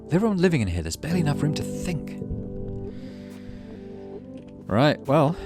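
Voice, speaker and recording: A man's voice: a little high, big pitch swings, quick pace, music in the background. A man hosting a gaming video, reading visual novel text aloud and adding casual commentary. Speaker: gaming channel host.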